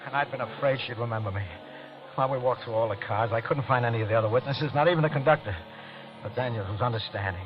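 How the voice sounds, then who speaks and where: A man's voice: mid-range voice, fast talker, close to an everyday voice. An American man acting a desperate accused husband in an old radio drama, agitated, stammering and pleading over his alibi. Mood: weary, bitter. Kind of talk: 1940s-50s radio drama acting and narration. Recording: muffled vintage radio recording.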